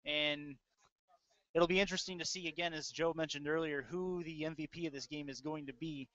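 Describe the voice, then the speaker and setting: Higher-pitched man calling football, dull sound. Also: steady tone, a little fast, sharp tone, no echo. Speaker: play-by-play sports announcer. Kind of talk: live football play-by-play commentary. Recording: dull lo-fi web audio stream.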